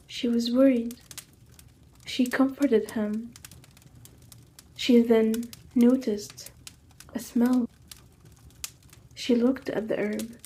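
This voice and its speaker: A woman narrating a scripted ASMR story in a soft, hushed manner with drawn-out phrasing. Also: a little high, a little hiss or hum.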